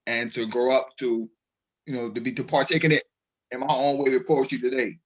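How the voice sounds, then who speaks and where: A man's voice: mid-range voice, flat delivery, brisk, poor audio. A man from Brooklyn with a Bajan accent, talking as a poet guest in a radio interview, with lots of restarts and fillers. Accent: Barbadian (Bajan). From Brooklyn, New York. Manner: hesitant, many restarts and fillers.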